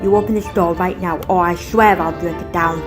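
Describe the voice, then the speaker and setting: A woman's voice: fairly low pitch, steady tone, fairly quick, lightly acted. A woman playing a frantic, defiant young heroine in a fan audio drama. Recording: music behind the voice.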